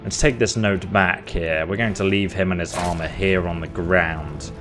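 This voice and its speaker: A man's voice: low voice, fairly even intonation, moderate pace, music in the background. A man hosting a game playthrough with casual commentary and a playful, mock-dramatic manner. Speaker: gaming video host. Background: game soundtrack.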